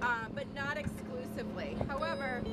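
High-voiced woman teaching over boat noise. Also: fairly even intonation, moderate pace. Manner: explains patiently, asks the class questions. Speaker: marine science educator. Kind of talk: teaching students on a field trip. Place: on a boat, deck and cabin. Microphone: handheld camera some distance off. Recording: distant voice under loud boat noise.

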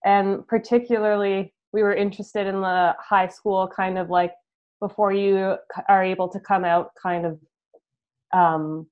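A woman's voice: mid-range voice, level tone, unhurried. Canadian woman, dull video-call sound. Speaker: band musician being interviewed. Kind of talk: casual interview chat. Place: room at home.

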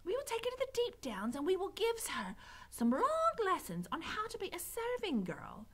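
A woman's voice: very high-pitched, wildly swooping pitch, moderate pace, voice pushed up, full character voice. A woman voicing a dim, eager young serving girl from a storybook, blunt and uneducated in her speech. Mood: gleeful. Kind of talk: storybook character voice.

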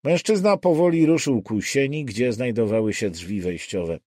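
Mellow male thriller narrator, very animated pitch. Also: normal speed, no echo, clean studio sound. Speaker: audiobook narrator. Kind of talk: scripted dramatic thriller narration.

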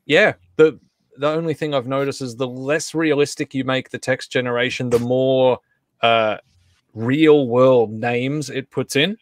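A man's voice: mid-range voice, fairly even intonation, unhurried, dry recording. A man hosting a casual livestream chat, talking in a rambling, conversational way. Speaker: host and co-creator. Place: indoor home setup on a group video call.

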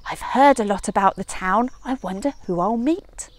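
A woman's voice: medium pitch, moderate pace, dry recording, close to an everyday voice. A woman voicing a small, eager male country mouse in a storybook, earnest and homely. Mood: curious.

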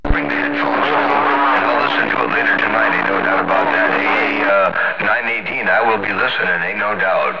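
A man's voice: fairly low pitch, normal speed, few pauses, roomy sound. A man chatting in loose CB slang as a radio operator, over a CB transmission. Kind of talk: casual CB radio banter with slang. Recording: dull, very noisy CB radio transmission.

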